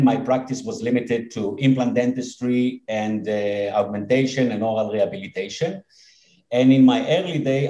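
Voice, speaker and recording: Man, Israeli accent, fifties, warm mellow voice, halting, echoey room. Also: mid-range voice. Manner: earnest, slow and halting with many fillers. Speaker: guest, dentist and company head. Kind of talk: podcast interview.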